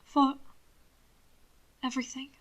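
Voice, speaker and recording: A woman voicing a soft-spoken, reluctant young husband in an audiobook, with a tender, hushed, breathy delivery. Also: high-pitched, level tone, takes it slowly, dull audio, pitched above the performer's own voice. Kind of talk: audiobook character voice. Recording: occasional static crackle from microphone.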